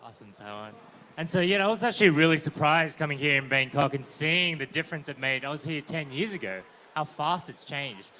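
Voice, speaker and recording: Bright-voiced casual male moderator. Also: fairly high pitch, expressive, a little fast, a little hiss or hum. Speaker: panel moderator. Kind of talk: moderating a panel. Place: conference stage.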